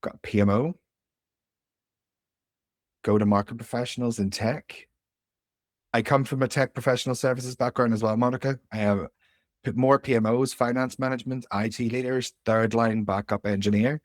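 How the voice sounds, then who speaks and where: A man's voice: medium pitch, fairly even intonation, normal speed, long pauses, no echo, studio quality. A man in his late thirties with a Northern Irish accent, a career coach giving a live webinar in a chatty, informal way with many fillers. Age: 30s. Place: home room.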